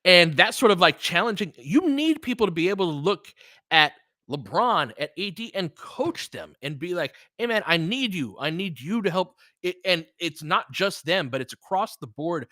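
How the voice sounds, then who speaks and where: A man's voice: high voice, sing-song, normal speed, bright, forceful tone, no echo. American man, candid livestream sports talker, dry sound. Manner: candid and opinionated, swears freely. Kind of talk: casual, unscripted sports commentary chatting with listeners.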